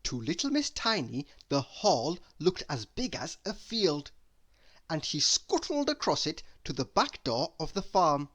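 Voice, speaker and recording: A storybook narrator reads for young children with a bright, forward voice. The delivery is unhurried and expressive, with pitch that moves around in a lively way. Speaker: storybook reader. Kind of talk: children's read-aloud narration.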